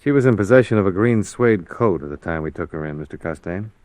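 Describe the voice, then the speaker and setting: An actor playing a hardboiled insurance investigator, terse and matter-of-fact, narrating and acting in an old radio noir drama. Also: male voice, low voice, lively intonation, quick pace, darkish tone, no room sound.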